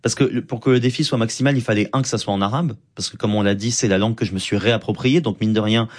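A man, a stand-up comedian, talking in an interview in a lively, flamboyant way with quick asides. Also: lowish voice. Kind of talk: conversational interview talk.

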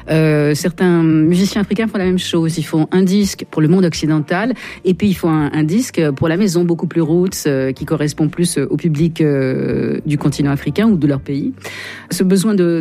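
A woman hosting a radio interview, asking long questions and often pausing to search for words. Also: low-pitched, warm tone. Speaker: radio host.

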